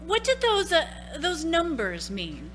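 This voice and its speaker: A woman's voice: extremely high pitch, huge pitch swings, slow, fairly bright tone, a little hiss or hum, full character voice. A woman playing a polite, concerned housewife and mother in a radio play, motherly and a little hesitant. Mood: uneasy.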